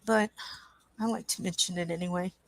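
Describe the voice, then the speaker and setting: A woman with a croaky voice hosting a coloring livestream, chatting casually and reading chat aloud at an easy pace. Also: on the low side, steady tone, dry recording. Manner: slow, unhurried, reads chat aloud with soft asides. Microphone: webcam.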